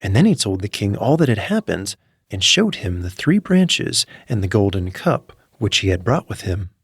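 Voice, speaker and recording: A man's voice: fairly low pitch, sing-song, normal speed, vocal fry, slightly rough, dry recording. A man narrating a scripted fairy tale as a storyteller, reading in full literary sentences. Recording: music behind the voice. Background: background music.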